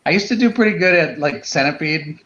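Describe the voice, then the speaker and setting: A man's voice: on the high side, lively intonation, a little fast, fairly bright tone. A middle-aged man chatting casually and reminiscing in an informal way. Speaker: podcast co-host. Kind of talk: casual chat. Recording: roomy, slightly muffled sound.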